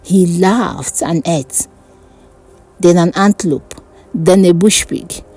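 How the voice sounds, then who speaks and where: A woman narrating a dark fantasy folk tale in a dramatic, deliberate way. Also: on the low side, slightly rough. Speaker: storyteller. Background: background music.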